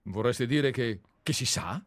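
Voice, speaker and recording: A man's voice: medium pitch, animated, unhurried, no room sound, studio quality. An actor reading the part of a provincial man who is earnest and flustered in a radio drama reading. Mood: incredulous. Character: provincial male visitor, shocked and incredulous. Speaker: character in a radio play reading.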